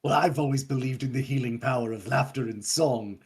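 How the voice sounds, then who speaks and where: A woman playing a flippant, theatrical fae entertainer with a husky, gravelly voice and a wry, grandiose manner. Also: a bass voice, fairly quick, a little mellow, exaggerated voice.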